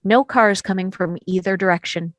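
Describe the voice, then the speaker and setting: A woman's voice: mid-range voice, normal speed, no room sound. Woman reading screenplay narration. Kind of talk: scripted narration, table read.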